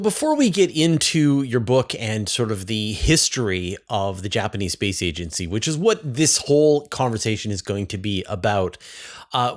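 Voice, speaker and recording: Male interviewer, informal and conversational. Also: medium pitch. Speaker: interview host, space journalist.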